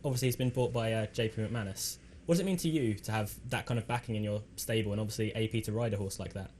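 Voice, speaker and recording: A man's voice: on the low side, quick pace, darkish tone, slight background noise. A man putting questions as an interviewer in an informal sports interview.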